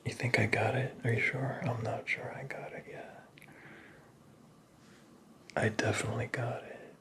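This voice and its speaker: A man playing a flirtatious, flustered prince in an ASMR roleplay, speaking in a soft, breathy whisper with halting, teasing phrasing. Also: medium pitch, flat delivery. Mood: nervous, tender. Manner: halting, teasing, awkwardly self-correcting.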